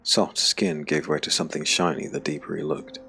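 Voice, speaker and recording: A man narrating a dark fantasy audiobook drama in measured, weighted phrases. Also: a bass voice, level tone, vocal fry. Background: background music.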